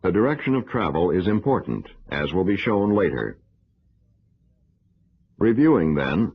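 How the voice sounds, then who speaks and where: A dull, lo-fi film soundtrack carries a man's low, husky voice. He narrates an educational film from a script, formal and measured, explaining each point in an instructional way.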